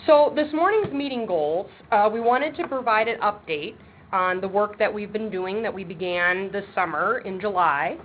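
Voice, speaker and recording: Woman chairing a webinar, brisk and practical, heard over a thin phone line with faint hiss. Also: mid-range voice. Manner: says she has a loud voice; brisk, practical, with frequent ums. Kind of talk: webinar presentation. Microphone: speakerphone on a table. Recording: tinny phone line with faint background noise.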